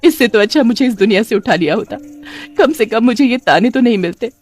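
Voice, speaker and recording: A woman's voice: a little high, expressive, a little fast, darkish tone, faint music behind, voice pushed up. A woman voicing a wronged young widow in a cartoon story, plaintive and protesting. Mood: tearful, pleading. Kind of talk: Hindi cartoon story voice acting.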